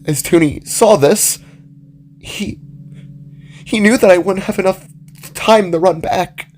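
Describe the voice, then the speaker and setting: A man's voice: a little high, voice pushed up, close to an everyday voice. A man voicing a kind young female animal character in a dramatic story, hesitant and sad as she speaks. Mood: tearful regret. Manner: soft, hesitant, stammering, comforting.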